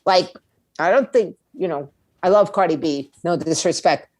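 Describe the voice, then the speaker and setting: A woman's voice: on the low side, moderate pace, darkish tone, dry recording, clean studio sound. A woman giving conversational interview answers as a guest, speaking emphatically, repeating her points and posing rhetorical questions. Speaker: interview guest, music attorney and label owner.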